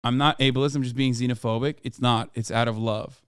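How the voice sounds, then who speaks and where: A man's voice: medium pitch, steady tone, fairly quick, a bit bright, clean tone, no room sound, clean studio sound. A man hosting a live stream, giving casual, profane reaction commentary and bantering with his chat. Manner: swearing, exclamatory, bantering with chat. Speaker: streamer host.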